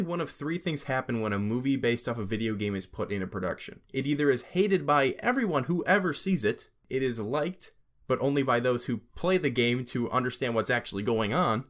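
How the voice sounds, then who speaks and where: A man's voice: medium pitch, animated, moderate pace, fairly bright tone. A young man hosting a casual scripted gaming commentary, sarcastic and joking, with profane asides. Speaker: video essay host.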